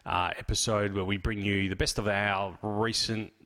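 An Australian man hosting his podcast and introducing a retrospective episode in a relaxed, rambling way with lots of fillers. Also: lowish voice, moderate pace. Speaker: podcast host. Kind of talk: casual solo intro.

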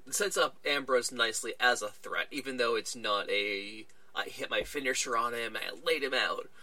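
Chatty man recapping wrestling, bright dry voice. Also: mid-range voice, no echo. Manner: chatty, retelling events with little quoted voices. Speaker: podcast host.

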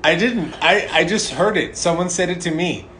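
A man's voice: high voice, normal speed, pushed and bright. A man in his thirties chatting casually and telling personal stories as a podcast co-host. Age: 30s. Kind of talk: casual chat and personal storytelling. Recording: clearly noisy background ambience.

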